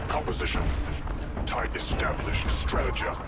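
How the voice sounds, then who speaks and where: A man voicing a giant, bombastic patriotic war robot in a video game, with a processed, gravelly voice making clipped announcements. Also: moderate pace. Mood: bombastic, relentless. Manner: declamatory, clipped machine announcements. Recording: distant, under loud music and battle noise.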